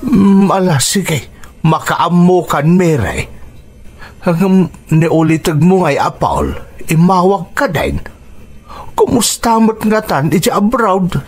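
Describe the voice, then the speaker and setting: Slow, husky, anxious old father. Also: high-pitched, sing-song, heavily acted. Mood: resigned, concerned. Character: anxious, elderly father of a grown daughter. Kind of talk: Ilocano radio drama acting.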